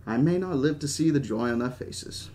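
A man's voice: mid-range voice, animated, normal speed, mellow, lightly acted. Guy doing an old british gent in his 70s, grandiose and stubborn, genteel storybook character. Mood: wistful. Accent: attempted British. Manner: genteel, avuncular, speechifying. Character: stubborn, grandiose old man, wealthy park founder.

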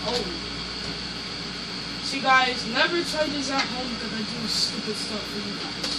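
High-voiced young man, rambling vlog. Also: unhurried. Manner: rambling, hesitant, many fillers and restarts. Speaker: YouTuber. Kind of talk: casual home vlog. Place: small dim bedroom indoors. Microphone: phone camera moved around room. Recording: noisy home phone recording.

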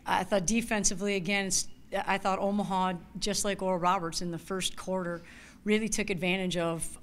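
Low-voiced woman coach, measured. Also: a little hiss or hum. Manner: measured, analytical, repeats key words. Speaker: head coach. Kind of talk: postgame press conference answers. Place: press conference room, seated at table. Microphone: table mic in front.

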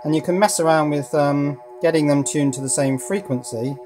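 A man's voice: a little high, normal speed, music in the background. A man giving a casual hands-on electronics demonstration, thinking aloud a little hesitantly as he goes. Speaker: electronics hobbyist presenter. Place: indoors at a workbench.

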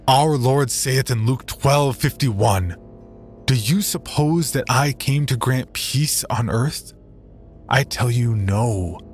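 A man voicing a fiery frontier preacher who rants and booms scripture at a crowd. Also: lightly acted. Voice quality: booming, ranting. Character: fiery fire-and-brimstone male preacher.

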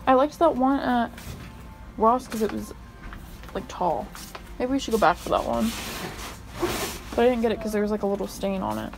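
A woman's voice: fairly high pitch, expressive, moderate pace, vocal fry, long pauses, over music. A young woman talking casually into her phone camera while shopping and riding in a car, with lots of "like" fillers. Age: young adult. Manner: chatty, filler-heavy, lots of "like". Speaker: vlogger. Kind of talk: casual shopping vlog chat. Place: in a car and in stores. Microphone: phone camera at arm's length. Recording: loud road and street noise.

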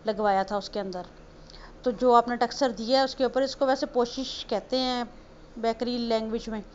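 Pakistani lady talking thru cake decorating at home, kinda higher voice, faint hiss behind. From Pakistan, Punjab. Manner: chatty, homely step-by-step instructions. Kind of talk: casual voice-over tutorial. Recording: slightly muffled voice-over with faint noise.

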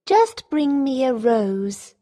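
A woman acts a modest, kind young daughter from a storybook. The voice is high and gentle. She speaks very slowly. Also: sing-song, long reverb tail, exaggerated voice. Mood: modest, pleading. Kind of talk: storybook character voice.

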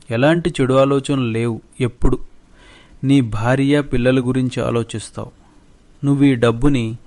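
A man's voice: mid-range voice, darkish tone, natural voice. A man playing a kind, paternal, wealthy village employer in a moral cartoon. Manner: benevolent, paternal. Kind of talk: Telugu moral cartoon dialogue.

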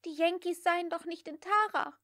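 A woman voicing a childish, easily panicked young servant girl in an audiobook, excitable and wailing. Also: very high-pitched, moderate pace, dry recording, clean studio sound, pitched above the performer's own voice.

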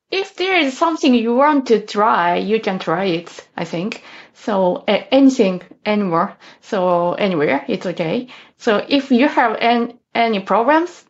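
A woman offers short, simple words of encouragement on a video call. Her voice sits at a middling pitch. The audio is slightly dull. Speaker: panelist. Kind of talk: panel discussion on video call.

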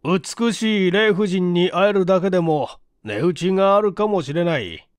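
A man reading aloud as a weary, wry senior chief investigator in an audiobook, unhurried and casually blunt. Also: high-pitched, no room sound, studio quality, pitched above the performer's own voice, lightly acted.

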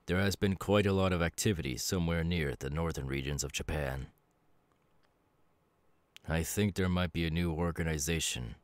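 A man voicing a gentle, melancholy demon who was once human, in a measured, reflective ASMR roleplay with pauses. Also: low-pitched, dry recording, lightly acted. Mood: quietly warning. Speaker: voice actor. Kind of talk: ASMR audio roleplay, slow intimate acting.

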